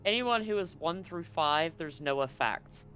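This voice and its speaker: A man's voice: on the high side, animated, measured pace, sharp tone. Man running a tabletop game, music behind. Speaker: game master. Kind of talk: casual tabletop roleplaying game narration.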